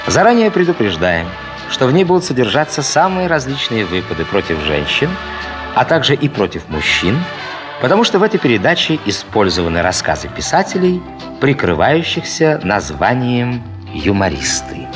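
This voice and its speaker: A man's voice: mid-range voice, big pitch swings, normal speed, over music. A man making a mock-serious, scripted humorous announcement as a radio announcer.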